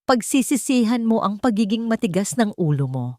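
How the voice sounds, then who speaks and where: A woman's voice: on the high side, very animated, moderate pace, a little mellow, no echo, studio quality, pitched above the performer's own voice. A woman voicing a smug, cruel young woman who threatens the heroine in an audio drama. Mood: threatening. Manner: cold, threatening.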